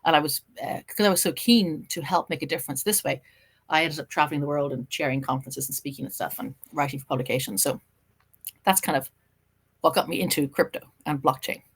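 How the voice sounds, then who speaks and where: A middle-aged Irish woman, a journalist and writer, chatting as a podcast guest and telling her story in an animated way. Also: sits low, slightly rough. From Ireland. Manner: animated storytelling, quick and lively. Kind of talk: casual interview chat.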